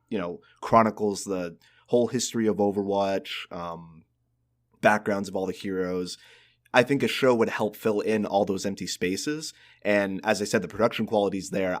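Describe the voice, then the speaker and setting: A man co-hosting a podcast, chatting casually about games and often repeating words as he works out his opinions. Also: on the low side. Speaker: podcast co-host.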